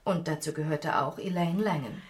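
Casting: woman playing shrewd police detective, lowish voice, assured and factual, crime radio drama interview. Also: moderate pace, natural voice. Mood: matter-of-fact, confident. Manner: matter-of-fact, assured. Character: female police detective, matter-of-fact and shrewd.

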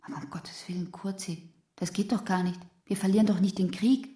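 A firm, orderly mother played by a woman with a dark, soft-edged voice of medium pitch. She instructs strictly in a radio play, in an echoey room. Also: fairly even intonation, brisk, close to an everyday voice. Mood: indignant, reassuring. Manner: firm, schooling. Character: strict, orderly, patriotic mother of a small boy. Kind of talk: radio drama acting.

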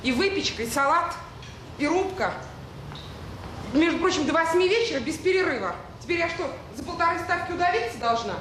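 A woman's voice: extremely high pitch, expressive, brisk, a bit bright, echoey room, slight background noise, poor audio. An actress playing an overworked, touchy woman cook in a Soviet radio drama, blunt, defensive and aggrieved.

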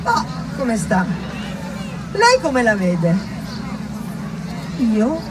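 Woman playing a brusque, evasive senior doctor over loud crowd. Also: a little high, wildly swooping pitch, drawn-out words, heavy background noise, exaggerated voice. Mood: hurried, evasive. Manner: brusque, dismissive, hedging. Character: hurried, evasive, pious male head physician, self-important. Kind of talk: theatrical monologue dialogue.

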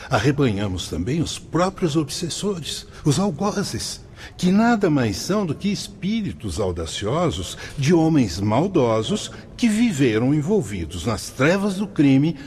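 An actor in a radio drama playing a learned, fatherly spirit mentor who teaches in measured, emphatic oratory. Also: fairly high pitch, sing-song, darkish tone, a little hiss or hum. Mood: solemn, instructive.

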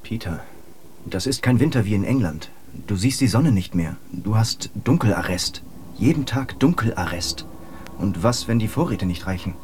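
A man's voice: on the low side, expressive, moderate pace, darkish tone, a little hiss or hum, natural voice. A man playing a worried, sober young sailor, grave and emphatic, in a radio drama. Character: worried, sober young English sailor warning his friend. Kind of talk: German radio drama acting.

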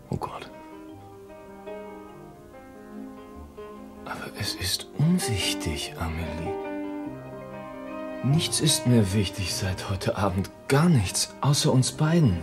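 A man's voice: sits low, very animated, measured pace, long pauses, over music. An actor playing an earnest, lovestruck man in a romantic radio drama, speaking solemnly and intimately. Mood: shaken, tender. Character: earnest, lovestruck man reunited with his lover.

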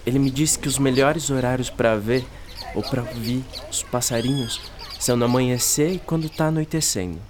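A man's voice: mid-range voice, moderate pace, background noise, close to an everyday voice. A man playing a friendly, enthusiastic boy who knows his birds in a radio drama, with a Brazilian accent and a folksy, chatty manner. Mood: chatty, informative. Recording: birdsong ambience behind the voice. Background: outdoor birdsong.

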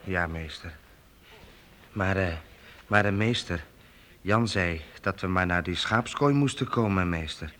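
Lowish, hesitant young apprentice. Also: male voice, measured pace, pauses often, bright, forceful tone. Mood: hesitant, earnest. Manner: hesitant, respectful. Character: young, deferential minstrel's apprentice, eager and hesitant. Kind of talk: radio play acting.

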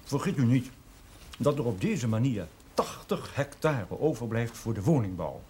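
An actor playing a businesslike, persuasive man in a Dutch period television drama, speaking formally and with measured care. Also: medium pitch, sing-song, a little mellow, a little hiss or hum, close to an everyday voice. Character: businesslike, persuasive man promoting a mining land deal.

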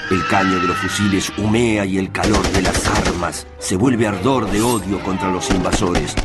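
A man's voice: deep voice, steady tone, moderate pace. A man narrating a radio drama from a script in a solemn, intense, dramatic manner. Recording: clear music under the voice.

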